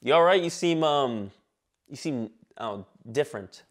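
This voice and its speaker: A man in his twenties from Michigan hosting a comedy talk show sketch in a small studio, irreverent and excitable, swearing a lot. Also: mid-range voice, a little slow. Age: 20s. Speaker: host. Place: small indoor studio set.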